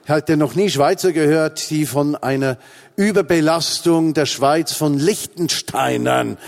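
A man's voice: a little high, measured pace, vocal fry. A Swiss man in his forties with a Swiss German accent, preaching a humorous sermon with emphatic repetition. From Switzerland. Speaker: pastor.

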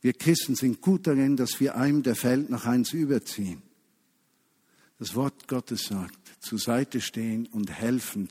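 A man preaching a sermon to a congregation, emphatic, stretching key phrases and dropping to a hush. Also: medium pitch, slightly rough. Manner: emphatic, with slow drawn-out key phrases and hushed drops. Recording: long hall reverb.

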